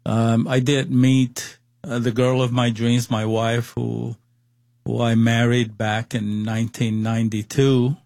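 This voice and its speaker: A man's voice: medium pitch, little pitch movement, measured pace, gravelly, a little mellow, no echo, studio quality. A man in his sixties from Cuba with a Cuban Spanish accent, a guest giving his personal testimony in an interview, hesitant and full of fillers and restarts.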